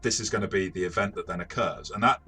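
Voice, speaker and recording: Male reviewer, very low forceful voice, analytical and exploratory, faint music underneath. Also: fast. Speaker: game reviewer and host. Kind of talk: casual analytical review discussion.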